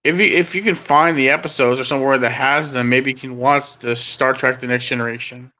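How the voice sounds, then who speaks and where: A man's voice: medium pitch, fairly quick, highs cut off. A man in his thirties chatting casually as a co-host, rambling and correcting himself as he goes. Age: 30s. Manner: rambling, self-correcting, excitable. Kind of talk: casual chat.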